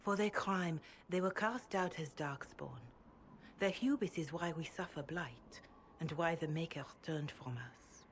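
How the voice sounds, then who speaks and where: A woman playing an older, pious holy woman in a video game, speaking gravely and gently in measured, formal phrases. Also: deep voice, slight background noise. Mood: solemn, grave. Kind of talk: dramatic video game dialogue.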